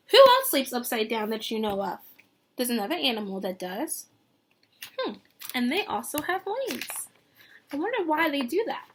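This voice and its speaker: A woman teacher reading a picture book aloud to young children, expressive and sing-song, pausing to put questions to them. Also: high voice. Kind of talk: read-aloud lesson with questions to young listeners.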